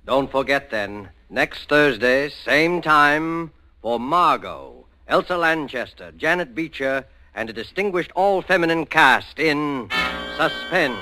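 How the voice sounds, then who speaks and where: A male programme announcer in 1940s radio style, with a bright, penetrating tone and very slow pace. He is dramatic and deliberate, recorded dry and close. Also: on the high side, lively intonation, dry recording.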